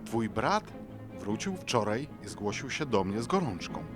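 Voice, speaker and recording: A man voicing a grave, measured village doctor in an animated horror story over music. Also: lowish voice. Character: grown village doctor, calm and matter-of-fact. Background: background music.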